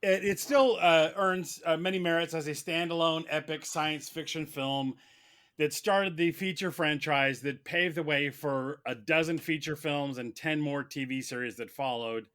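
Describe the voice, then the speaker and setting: A man playing a mock defense lawyer, making a wordy, halting film argument in formal vocabulary. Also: a little high, fairly bright tone. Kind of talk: semi-prepared humorous film argument.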